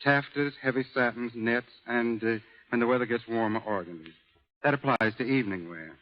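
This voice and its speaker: A man speaking as a guest expert on a radio show, measured and matter-of-fact, reading scripted answers, on a dull old broadcast recording. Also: medium pitch.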